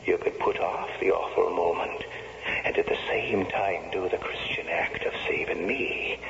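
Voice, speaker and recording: Creaky low ghost tempter, sly n reproachful, old echoey radio play. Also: male voice. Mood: coaxing. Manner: slow, deliberate, insinuating. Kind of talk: 1970s radio drama acting. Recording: echoing, noisy, muffled old radio broadcast.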